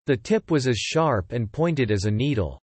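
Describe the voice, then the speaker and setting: Measured male audiobook narrator, very dry sound. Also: medium pitch, animated, darkish tone, dry recording. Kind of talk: scripted fiction narration.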